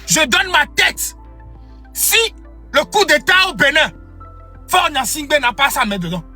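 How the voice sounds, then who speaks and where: A man's voice: very high-pitched, animated, a little slow, sharp tone, soft background music. A young man giving an impassioned political speech, repeating phrases for emphasis. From Togo. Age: young adult. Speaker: activist.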